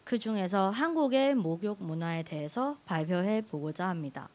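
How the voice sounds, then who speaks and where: A woman's voice: medium pitch, expressive, moderate pace, a little mellow. A woman giving a prepared speech in a learned language, telling a personal story expressively. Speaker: speech contestant.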